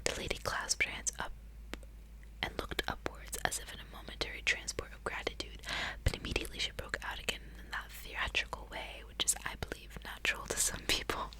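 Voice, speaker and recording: A woman speaking in a breathy whisper, reading aloud in an ASMR style. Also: brisk, low-quality recording. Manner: casual playful asides between read passages. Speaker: ASMR host.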